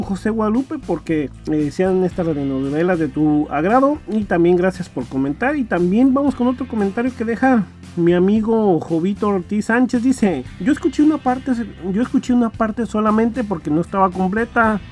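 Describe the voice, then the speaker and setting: A man talks casually as a podcast host. His voice sits high for a man, with soft music underneath. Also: lively intonation, fairly quick. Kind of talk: casual podcast intro, reading comments.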